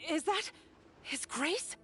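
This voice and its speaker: Woman as a very high, timid young prisoner. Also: lively intonation, slow, pushed and bright, heavily acted. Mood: hushed awe. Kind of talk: theatrical dubbed game-story acting. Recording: music behind.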